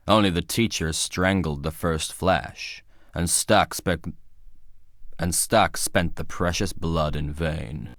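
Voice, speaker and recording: Deep-voiced, solemn old judge. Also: male voice, no echo, clean studio sound. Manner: grave, portentous, formal pronouncements. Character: weary old male town judge, solemn and cryptic. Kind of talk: dramatic video game voice acting.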